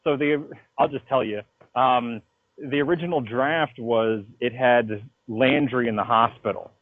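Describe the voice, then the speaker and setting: A man's voice: mid-range voice, a little slow, no room sound, narrow, tinny audio. A man chatting casually in an interview as a writer, telling stories in a slangy way full of swearing. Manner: animated, profane, slangy storytelling. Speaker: comic book writer being interviewed. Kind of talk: casual interview chat about own work. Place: phone call interview. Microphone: phone call.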